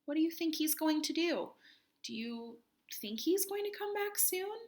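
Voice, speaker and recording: High, animated woman reading a picture book. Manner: expressive, dramatic pauses and hushed moments for young listeners; asks the listeners questions. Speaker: children's read-aloud host. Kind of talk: animated picture-book read-aloud with chatty asides.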